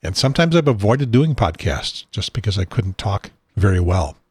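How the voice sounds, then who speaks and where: A man hosting his own talk show from a home studio desk, rambling and self-deprecating, with a husky, unsteady voice and frequent throat clearing. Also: fairly low pitch, very animated, normal speed, a little mellow, dry recording. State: has a probe in his nose. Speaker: podcast host.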